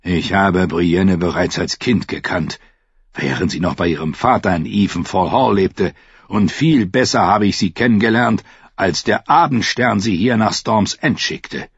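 A man voicing a scornful, defiant old knight in a slow, husky, mocking tone. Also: deep voice, no room sound, lo-fi sound, full character voice. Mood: earnest. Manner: contemptuous, mocking.

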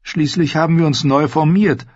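A man acts out a shy young noble squire in an audiobook, with a raised, slightly husky voice. He speaks politely and solemnly, a little hesitant. The recording sounds dull. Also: on the high side, steady tone, normal speed, darkish tone, no room sound, pitched above the performer's own voice, close to an everyday voice. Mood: modest. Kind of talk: audiobook character voice.

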